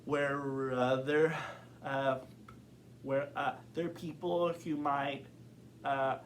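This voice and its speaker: A man's voice: mid-range voice, little pitch movement, very slow, slight background noise. A young man who stutters, answering interview questions openly and thoughtfully, with many fillers and repeated words. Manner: thoughtful, open. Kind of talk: casual interview.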